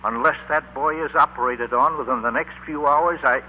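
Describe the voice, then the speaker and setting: A man's voice: mid-range voice, normal speed, natural voice. An American man playing a sober, formal family doctor in a 1940s radio drama. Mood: grave. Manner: formal, serious. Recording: tinny, noisy 1940s radio recording.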